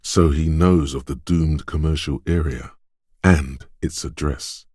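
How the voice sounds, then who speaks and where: An extremely deep-voiced quick-witted inner voice of a detective's instincts in a video game. He narrates in the second person, knowing and measured, with a dramatic touch. The sound is very close and dry. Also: darkish tone, husky, no echo, full character voice. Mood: observant, knowing. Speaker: voice actor.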